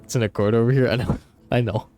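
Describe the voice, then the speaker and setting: A man's voice: mid-range voice, steady tone, fast, a little mellow. A man doing casual, profanity-laced live game commentary and banter, with a blunt, teasing, slangy manner. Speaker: game streamer. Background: music.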